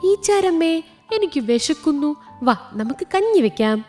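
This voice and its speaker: A woman's voice: high-pitched, very animated, unhurried, mellow, soft background music. A woman voicing a hardworking, patient female cat in a children's cartoon story, calling out in a singsong. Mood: coaxing.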